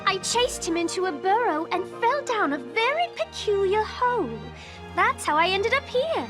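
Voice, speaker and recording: A woman playing a polite, earnest young storybook girl in a video game, prim, gentle and careful. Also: very high-pitched, very animated, normal speed. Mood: recollecting. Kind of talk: animated game voice acting. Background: prominent music.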